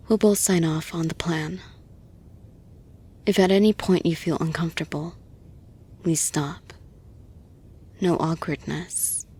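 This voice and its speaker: The composed boss in an intimate audio roleplay who shuts the office door and soothes you in a husky, lowish, reassuring murmur a woman acting it out. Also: darkish tone, exaggerated voice. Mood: gentle, reassuring. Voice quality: breathy, husky. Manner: slow, deliberate, reassuring. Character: caring, composed female boss gently offering support. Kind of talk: intimate roleplay, soft-spoken and measured.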